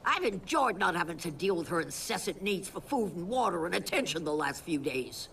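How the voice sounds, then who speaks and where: A woman's voice: fairly low pitch, sing-song, fairly quick, creaky, fairly bright tone, recorded from a distance, a little hiss or hum, exaggerated voice. Cranky old country woman, swooping delivery. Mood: grudging, dry. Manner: crotchety, sardonic, blunt. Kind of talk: video game mission dialogue.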